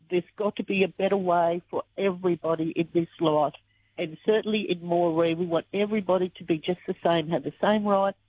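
A woman from Australia, croaky-voiced and earnest, talking emotionally in a radio interview. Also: mid-range voice, no room sound. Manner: earnest, emotional when recalling the past. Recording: tinny phone line.

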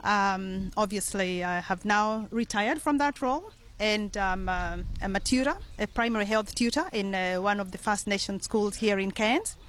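Middle-aged Kenyan woman, community leader guest, earnest and measured, pauses often. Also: mid-range voice, fairly bright tone. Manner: earnest, measured, with frequent ums and uhs. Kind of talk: radio interview.

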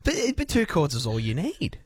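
A man's voice: on the high side, huge pitch swings, normal speed, breathy edge. A man hosting a live radio show and interviewing a guest in a chatty presenter style. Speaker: radio host.

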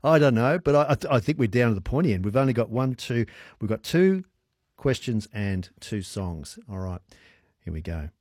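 A man's voice: medium pitch, big pitch swings, moderate pace, a little mellow, no echo. An Australian man hosts a radio quiz with a teasing, jokey manner and frequent laughs. His voice is dry and close-miked, with no room around it. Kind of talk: casual on-air chat with callers.

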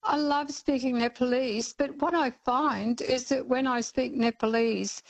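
A woman's voice: high-pitched, fairly even intonation, a little slow, low-quality recording. An older Australian woman calling in to a radio talk show, speaking earnestly with frequent ums. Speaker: caller. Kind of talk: radio call-in chat.

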